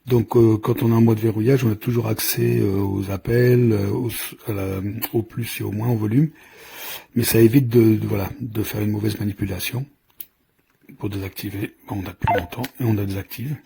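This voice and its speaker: A man's voice: fairly low pitch, fairly even intonation, normal speed, vocal fry, mellow, slightly rough. A man giving an informal hands-on product demonstration, explaining in a hesitant, improvised way as he goes. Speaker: product reviewer.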